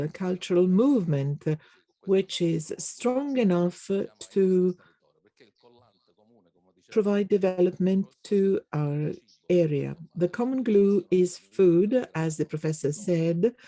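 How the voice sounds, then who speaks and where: A conference interpreter giving a simultaneous English rendering of a panel discussion, halting often with fillers and pauses. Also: medium pitch, dark tone, no room sound.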